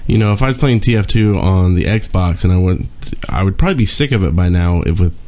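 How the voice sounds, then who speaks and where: Low-voiced man musing on a podcast. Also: lively intonation, fairly quick, dark tone. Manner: thinks aloud, hesitant. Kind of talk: casual podcast chat.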